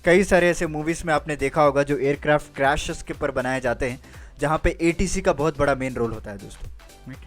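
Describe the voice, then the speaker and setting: A man's voice: a little high, brisk. A man giving an informal explainer lecture as a career guidance presenter, conversational, often checking in with listeners and mixing in English phrases. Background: music.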